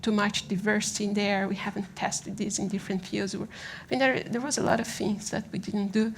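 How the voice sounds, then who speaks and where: A woman from Brazil with a Brazilian accent giving an informal conference presentation in a halting, conversational manner, often checking in with the listeners. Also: mid-range voice, flat delivery, gravelly, slight background noise. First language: Portuguese. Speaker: conference speaker on design.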